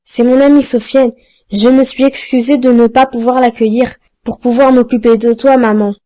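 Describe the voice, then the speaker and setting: A woman's voice: a little high, level tone, normal speed, warm tone, highs cut off, natural voice. A woman voicing a polite, caring boy who looks after his sick mother in a cartoon. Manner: polite, apologetic.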